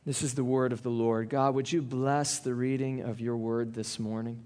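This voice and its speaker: A man preaching a sermon, reading scripture slowly and then talking in a conversational way with small asides to the congregation. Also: medium pitch, level tone, darkish tone. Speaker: pastor.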